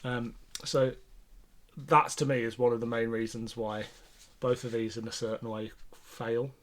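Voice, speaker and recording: A man's voice: mid-range voice, flat delivery, unhurried. Man hosting a casual podcast discussion. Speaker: podcast host.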